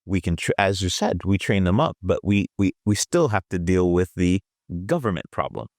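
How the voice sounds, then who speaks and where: A man on a roundtable panel, explaining in a measured way and listing his points. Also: low-pitched, lively intonation, darkish tone, no echo, studio quality. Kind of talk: roundtable discussion.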